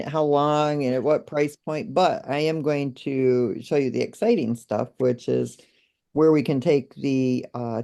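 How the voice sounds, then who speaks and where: A woman's voice: rumbling low, mellow. A woman co-hosting a video call, casually walking through figures on her shared screen with fillers and short laughs. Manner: searching aloud, with fillers and small laughs. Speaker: co-host presenting project numbers.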